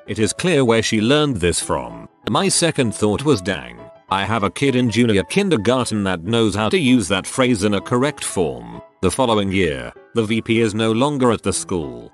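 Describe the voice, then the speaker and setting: A man reading out short personal stories as a scripted narrator. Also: lowish voice.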